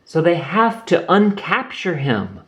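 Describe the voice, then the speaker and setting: A man's voice: very animated, slow pace, fairly bright tone, heavily acted. A man voicing an earnest young boy kangaroo in a storybook reading. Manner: high, pleading.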